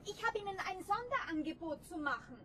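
A woman's voice: very high voice, expressive, fairly quick, sharp tone, heavily acted. A woman playing a door-to-door seller in a children's radio play, calling out from a distance. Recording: distant, noisy, with clicks.

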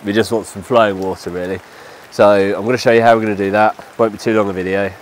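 A man's voice: fairly low pitch, fairly even intonation, fast. A man in his thirties with a stuffy nose from a cold, casually explaining a DIY job step by step while he works outdoors. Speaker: DIY vlogger. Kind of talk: casual how-to walkthrough. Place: outdoors under a shelter in the rain. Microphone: lapel mic. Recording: light rain noise behind.

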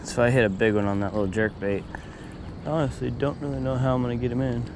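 A young man vlogging casually while fishing outdoors at a pond, talking to viewers with excitement over each catch. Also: medium pitch, fast talker, background noise. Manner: excited, upbeat, talks to viewers as y'all. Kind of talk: casual outdoor vlog narration. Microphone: action camera on chest or in hand.

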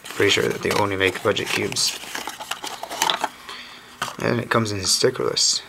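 Hoarse male vlogger, very low gravelly voice, relaxed and unsure, home camera audio with a noisy background. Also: unhurried. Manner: relaxed, thinking aloud with mild uncertainty. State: hoarse, recovering from lost voice. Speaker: cube channel host. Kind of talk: casual unboxing commentary. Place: indoors at a wooden table. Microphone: camera mic on a tabletop shot of hands.

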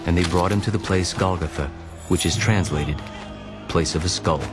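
Very deep-voiced male scripture narrator. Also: moderate pace. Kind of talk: solemn dramatized scripture narration. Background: music.

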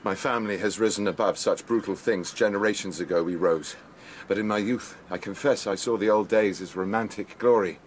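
Man acting aristocratic lord, low pitch, cold, formal, disdainful, radio drama, faint background ambience. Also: a little hiss or hum, close to an everyday voice. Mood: proud confession. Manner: formal, disdainful, measured menace. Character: proud, cold aristocratic lord with a warrior's romantic streak.

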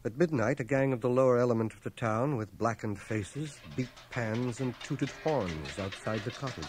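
An actor in a radio drama playing a reflective man narrating his small-town boyhood in a measured, expressive way. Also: mid-range voice, close to an everyday voice. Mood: reflective, grave. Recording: home cassette transfer, music underneath.

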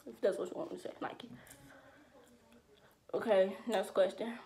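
A woman's voice: mid-range voice, normal speed, creaky. Chatty eighteen-year-old woman vlogger. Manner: chatty, slangy, animated with hand gestures. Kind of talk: casual Q&A chat. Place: small room at home, indoors.

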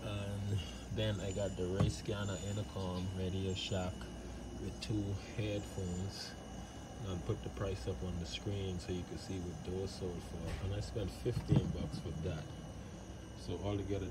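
A man's voice: sits low, flat delivery, unhurried. A man narrating a casual vlog as host, easygoing and slangy in his phrasing, recorded on a phone outdoors. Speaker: vlog host, flea market reseller. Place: outdoors at flea market and porch. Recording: noisy outdoor phone recording with insects, vehicles.

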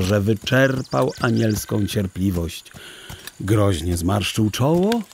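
A man with a low voice narrates a children's story. His delivery is slow and literary, with lively swings in pitch that suit a scripted audio drama. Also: slight background noise.

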